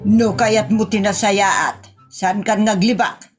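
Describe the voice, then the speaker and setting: A woman's voice: medium pitch, fairly even intonation, slow, over loud music, natural voice. A woman playing a nosy, scolding village woman in a comedy drama, speaking emphatically with an Ilocano accent. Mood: gossipy, suspicious.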